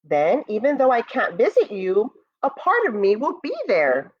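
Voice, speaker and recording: A woman's voice: a little high, huge pitch swings, moderate pace, highs cut off. A woman voicing a friendly female moon in a picture book, eager and welcoming toward her visitors. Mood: fond. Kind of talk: picture-book read-aloud.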